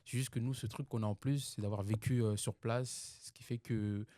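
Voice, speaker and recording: A man's voice: lowish voice, steady tone, normal speed, dark tone, no echo. A young man from Congo speaking earnestly and reflectively in a casual chat among friends. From Democratic Republic of the Congo. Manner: earnest, reflective, many fillers.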